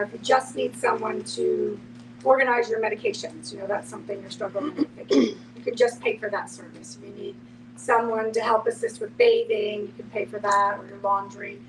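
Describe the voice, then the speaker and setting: A woman explaining things casually and conversationally in a group discussion. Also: fairly high pitch. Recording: distant mic with clear noise and hum.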